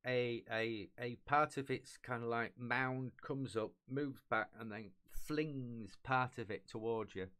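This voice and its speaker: A middle-aged man with a smooth, clean voice at an ordinary male pitch runs a tabletop game. He narrates off the cuff, pausing and humming filler syllables as he works out rules and rolls. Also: a bit bright. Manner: halting, hums filler syllables while thinking. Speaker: game master. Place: small indoor room, online video call.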